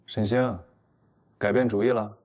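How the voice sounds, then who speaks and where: A man playing a composed, smooth-mannered psychology professor in an animated drama. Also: fairly low pitch, unhurried, dull audio, close to an everyday voice. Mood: cool, teasing. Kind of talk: audio drama acting for an animated story.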